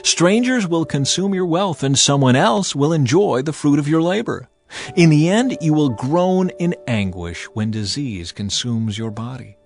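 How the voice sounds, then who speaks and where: A man's voice: on the high side, lively intonation, unhurried. A man giving a dramatized reading of scripture as a narrator, theatrical and emphatic, in the manner of someone urging a listener. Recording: music bed under the voice. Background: music.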